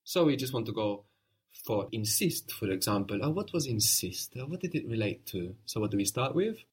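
A man's voice: fairly low pitch, very animated, breathy edge. Patient male language teacher. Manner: patient, prompting questions and quick praise. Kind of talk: guided language lesson.

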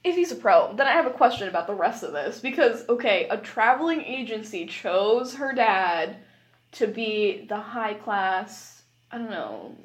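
A woman's voice: mid-range voice, sing-song, measured pace. A woman chatting casually as a podcast guest, giggly and exclamatory, with an incredulous way of talking. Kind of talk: casual comedic chat with a friend.

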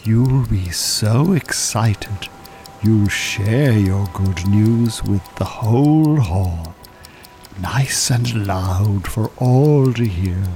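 A calculating Irish hypnotist con man speaks in a lowish voice. He is very unhurried, soothing yet commanding, as in a radio play with music underneath. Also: dark tone. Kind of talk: audio drama acting, hypnotic patter.

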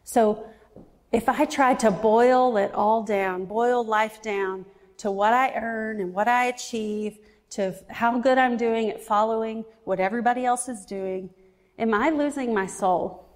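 Reflective woman preaching in a reverberant hall. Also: on the high side. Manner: earnest, reflective, slows down for emphasis. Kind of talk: sermon. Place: church.

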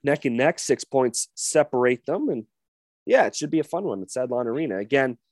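A man's voice: medium pitch, very animated, a little fast, dry recording, clean studio sound. Man hosting a hockey podcast. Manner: rundown of game details, hedging fillers. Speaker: podcast co-host. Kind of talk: casual sports podcast chat.

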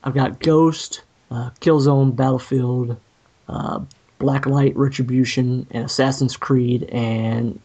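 A man's voice: mid-range voice, fairly even intonation, slow, warm tone, breathy edge. A man in a casual chat, hesitant with many fillers, a little distant from the mic. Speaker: podcast guest.

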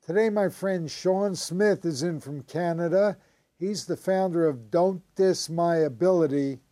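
A man's voice: fairly high pitch, measured pace, warm tone. A man hosting an interview, introducing his guest with friendly, joking remarks. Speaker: host.

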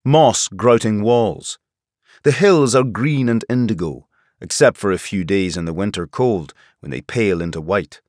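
A man reading literary nonfiction as an audiobook narrator, with a measured, polished read-aloud delivery. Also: lowish voice, darkish tone, no room sound, studio quality.

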